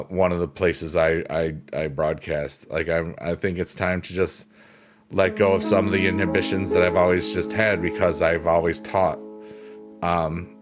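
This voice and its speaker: A man with a deep voice hosting a casual livestream, chatting in a rambling, unhurried way with plenty of fillers and reading viewer comments aloud. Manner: rambling, unhurried, many fillers like "you know" and "um". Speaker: livestream host.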